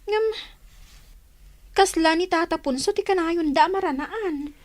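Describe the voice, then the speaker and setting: A woman's voice: very high-pitched, unhurried. A woman playing a curious, sympathetic young village confidante with an Ilocano accent, reacting readily in a radio drama. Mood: curious, concerned. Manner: inquisitive, reactive.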